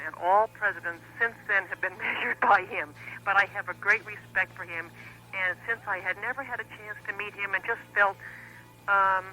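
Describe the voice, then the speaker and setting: A woman calling in to a radio show over the telephone, speaking earnestly and admiringly, with pauses and fillers. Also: mid-range voice, pushed and bright, rough recording. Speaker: caller. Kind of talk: call-in radio conversation.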